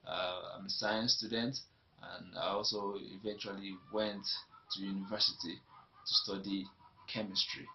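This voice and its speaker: Nigerian guy telling his story, gravelly voice, repeats himself a lot. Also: low voice, level tone, moderate pace, sharp tone, a little hiss or hum. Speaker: interviewee. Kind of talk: personal testimony. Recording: dull lo-fi recording.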